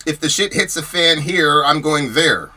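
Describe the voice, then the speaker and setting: A man's voice: on the high side, unhurried, bright, forceful tone. An American man hosting a podcast, giving heated commentary on chat comments, arguing emphatically with rhetorical questions and repeating himself as he gets worked up. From United States. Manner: repeats words and restarts when worked up. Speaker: podcast host.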